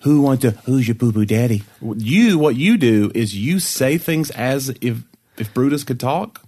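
A man's voice: mid-range voice, unhurried. A man co-hosting a podcast, chatting casually and teasing his partner with jokes. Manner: joking, teasing, animated. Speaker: podcast co-host. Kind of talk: casual comedic chat.

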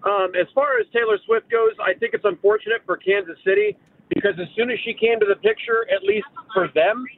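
Noisy phone line, high-pitched man. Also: a little fast. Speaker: radio phone-in caller. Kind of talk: casual sports opinion. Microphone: telephone.

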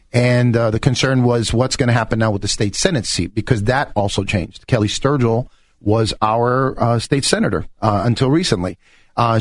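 A man's voice: lowish voice, no room sound. A middle-aged man from New York talking conversationally as a political candidate in a radio interview. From New York, United States.

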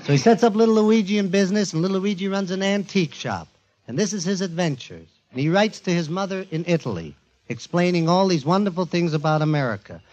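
A man's voice: high voice, lively intonation, fast, a little mellow. Informal emphatic man on a dull old recording. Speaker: radio show presenter warming up audience. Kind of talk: audience warm-up introduction. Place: before a live studio audience. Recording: dull 1940s radio archive recording.

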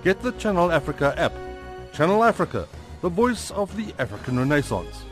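A man's voice: a little high, very animated, brisk, music in the background. A man reading a radio station advert in an upbeat manner. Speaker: station announcer.

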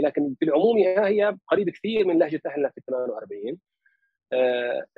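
A man from Lebanon with a Palestinian Arabic accent, speaking as an interview guest in a measured, formal way with many hesitations. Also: medium pitch, slightly rough, no echo, lo-fi sound. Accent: Palestinian Arabic as spoken in Lebanon. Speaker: guest, physician and analyst. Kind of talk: interview conversation.

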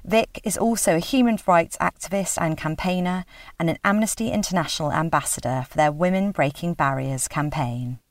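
A woman hosting a podcast, reading a formal introduction in a measured way before asking interview questions. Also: fairly low pitch, no echo, far from the mic. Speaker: podcast host.

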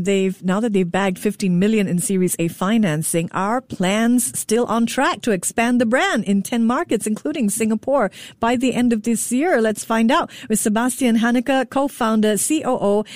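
A woman's voice: mid-range voice, a little mellow, dry recording, studio quality. A woman hosting a business interview on the radio, presenting and questioning her guests.

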